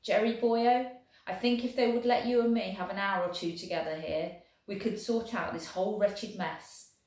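A woman's voice: medium pitch, fairly even intonation, normal speed, a little mellow, very echoey, lightly acted, poor audio. A woman reading aloud, voicing a little Welsh soldier with a Welsh accent, earnest and folksy. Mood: warm. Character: little Welsh soldier, warm and plain-spoken.

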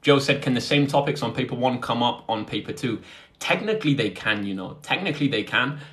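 A man's voice: mid-range voice, brisk, a bit bright. Casual young British man chatting to viewers. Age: 20s. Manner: informal, chatty, addressing viewers directly. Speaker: maths tutor hosting a livestream. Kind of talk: casual livestream chat, answering viewer questions. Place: indoors, close to a phone on a tripod.

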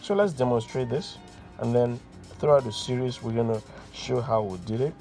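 A young man presents an electronics project as a channel host. His voice has some gravelly creak, and music plays behind him. He talks through the demonstration step by step. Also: mid-range voice, moderate pace, warm tone. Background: music, radio playing.

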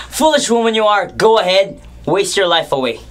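A woman's voice: mid-range voice, big pitch swings, a little slow, a bit bright, a little hiss or hum. A woman playing a scornful, jeering woman in a prison, mocking and theatrical, in an amateur radio drama.

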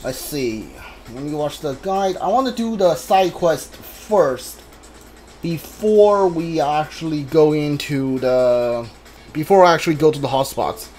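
Halting, slow-paced gamer thinking aloud. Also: medium pitch, animated, darkish tone. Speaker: video game player and commentator. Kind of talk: casual live playthrough commentary. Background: video game music.

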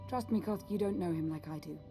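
A woman playing a composed, earnest resistance leader in a video game, with a calm, authoritative manner. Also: on the low side, fast talker, natural voice. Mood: firm. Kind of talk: video game dialogue acting. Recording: music under the dialogue.

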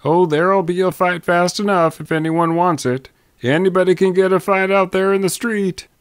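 A man's voice: high-pitched, steady tone, normal speed, clear, resonant tone, no room sound, studio quality, pitched above the performer's own voice, close to an everyday voice. A man voicing a young frontier townsman in a storybook Western, knowing and emphatic as he gives warnings. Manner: emphatic, folksy. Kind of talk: storybook character voice in a Western tale.

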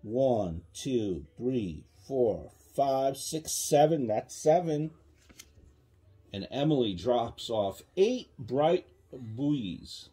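A man's voice: medium pitch, very animated, extremely slow, mellow, slightly rough. A man reading a children's counting book aloud to a child, patiently prompting with questions. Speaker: parent reading a bedtime story to a child. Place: small child's bedroom, indoors.

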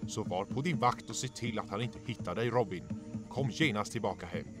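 A man's voice: lowish voice, music in the background, natural voice. A man voicing a stern lion king and strict father in a cartoon fan dub, authoritative and scolding. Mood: alarmed, scolding.

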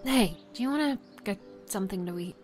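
A woman playing an earnest, careful friend who gently persuades and pleads in an audio drama. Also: mid-range voice, expressive, brisk, soft background music, natural voice. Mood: soothing.

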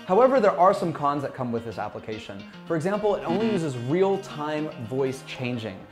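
A man in his thirties giving a scripted software tutorial in an upbeat presenter's manner, speaking into a lapel mic in a small office. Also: on the high side, big pitch swings, moderate pace. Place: small bright office, indoors. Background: background music.

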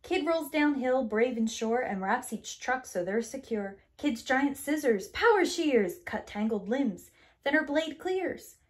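A woman in her thirties reading a rhyming picture book aloud to young children in an expressive, deliberate storytelling manner. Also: high voice. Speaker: preschool teacher. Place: small indoor room with bookshelves.

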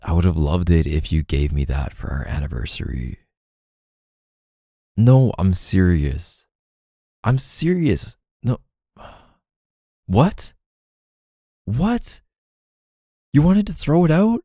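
A man performs a doting, apologetic boyfriend in an ASMR roleplay. His very deep voice is mellow and unhurried, with a tender, halting delivery close to the microphone. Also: big pitch swings, dry recording, heavily acted. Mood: pleading, earnest. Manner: tender, halting, sighing between phrases.

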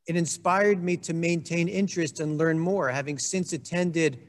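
A man in his forties delivers a sermon in a steady, earnest way, now and then slipping in a dry aside. He speaks into a desk microphone, and his somewhat high voice trails off into a long reverb. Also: moderate pace. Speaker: congregation rabbi. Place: indoor room with a wood-panelled wall. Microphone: desk microphone on a stand.

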